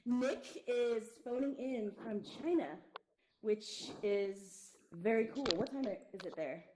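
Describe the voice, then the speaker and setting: A woman's voice: on the high side, takes it slowly, reverberant, background noise. A woman hosting a casual talk show, teasing her guest and giggling often. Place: virtual reality social space.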